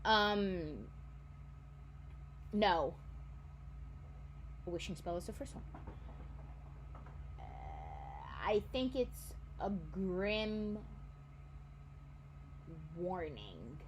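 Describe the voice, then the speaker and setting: A young woman in her twenties talks casually and rambles, often stopping to correct herself mid-sentence. Her voice sits at an ordinary pitch for a woman. A faint hum runs underneath. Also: sing-song, very slow, long pauses, low-quality recording. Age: 20s. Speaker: book vlogger. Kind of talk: casual chat.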